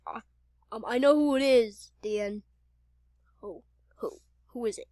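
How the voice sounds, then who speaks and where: Woman playing a high-voiced, melodramatic horror victim. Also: a little slow, warm tone, no room sound, clean studio sound. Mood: hesitant. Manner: hesitant, repetitive, melodramatic. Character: frightened victim, pleading, turning angry. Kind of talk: amateur improvised horror play-acting.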